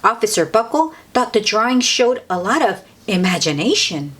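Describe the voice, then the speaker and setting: A woman reading a picture book aloud to children in an expressive way, drawing out words and adding chatty asides for young listeners. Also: medium pitch, normal speed, breathy edge.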